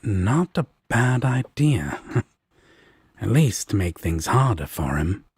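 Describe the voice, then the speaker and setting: A man acts out a protective demon roommate for an audio roleplay. The voice is low and very husky, recorded close and dry. He teases slowly and sardonically, with mischief under every line. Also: sing-song, darkish tone, dry recording, heavily acted. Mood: scheming, amused. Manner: dry, teasing, unhurried. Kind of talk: fan audio roleplay acting.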